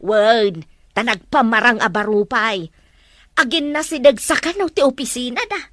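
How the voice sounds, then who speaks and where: A woman's voice: fairly high pitch, normal speed, a bit bright, dry recording, clean studio sound, lightly acted. A woman playing an older mother in an Ilocano radio drama, speaking emphatically to her grown son as she scolds and confides. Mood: indignant.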